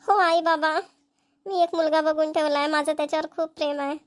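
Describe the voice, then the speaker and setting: Woman voicing a high-pitched earnest young village woman. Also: flat delivery, fairly quick, natural voice. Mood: earnest pleading. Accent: Marathi, rural Maharashtra. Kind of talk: amateur cartoon comedy-drama dubbing.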